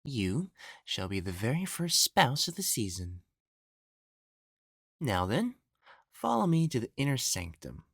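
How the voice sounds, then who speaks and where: A man performs an elegant young male matchmaker from ancient China in an audio drama. He is pompous and quickly exasperated, with highly animated pitch and a measured, slow delivery. The phrasing is formal and old-fashioned, and the sound is close and dry. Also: creaky, slightly rough, dry recording, exaggerated voice. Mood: haughty, formal. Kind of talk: scripted audio roleplay acting.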